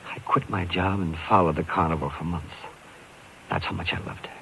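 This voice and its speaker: Tormented carnival guy, deepish american voice, pleading and broken, old hissy radio play. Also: fairly even intonation, vocal fry, fairly bright tone, low-quality recording. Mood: anguished confession. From Illinois, United States. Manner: halting, pleading, anguished. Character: haunted, desperate, guilt-ridden carnival performer man. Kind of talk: 1950s radio drama acting.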